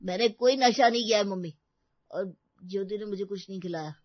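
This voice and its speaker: A man's voice: sits high, a little fast, dry recording, close to an everyday voice. Man acting a brooding, lovesick young man, slightly muffled. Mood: defensive. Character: heartbroken, self-pitying lovesick young man. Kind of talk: dramatic audio story acting.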